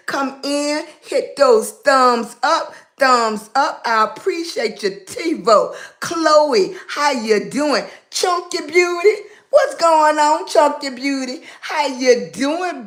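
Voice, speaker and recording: A Southern woman from Virginia chats through a phone live stream in a high, unhurried voice. She welcomes viewers one by one, drawls her words and calls everyone baby. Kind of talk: casual live-stream chat greeting viewers.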